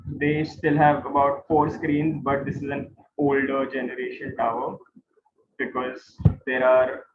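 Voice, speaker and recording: Man, Indian accent, informal instructor, explanatory, roomy tinny sound, background noise. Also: medium pitch. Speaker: instructor, guide. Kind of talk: informal classroom lecture with questions to students. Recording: roomy, tinny phone-like online class audio.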